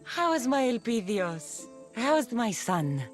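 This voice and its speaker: A woman's voice: fairly high pitch, sing-song, slow, music in the background. A woman playing a weary but tender young mercenary mother in a video game cutscene, dry and affectionate.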